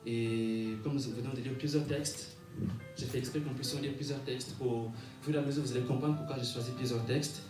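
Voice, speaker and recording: A man's voice: mid-range voice, steady tone. A man preaching a sermon and reading scripture, repeating phrases in a rhythmic way and punctuating them with amens. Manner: halting when reading aloud, repeats and rephrases phrases; rhythmic repetition, calls for amens. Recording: echoey room, distant mic, music behind.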